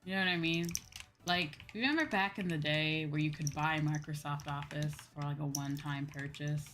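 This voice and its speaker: A woman's voice: sits low, normal speed, a bit bright, faint music behind. A woman livestreamer chatting casually and ranting in a dramatic, exasperated way, repeating phrases for emphasis. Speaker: livestreaming VTuber host.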